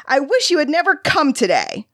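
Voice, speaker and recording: A woman's voice: high-pitched, wildly swooping pitch, normal speed, dry recording, studio quality, voice pushed up. A woman voicing a sulky, frightened young boy with a temper, blurting in a childlike, petulant way for a narrated story. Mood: angry, screaming.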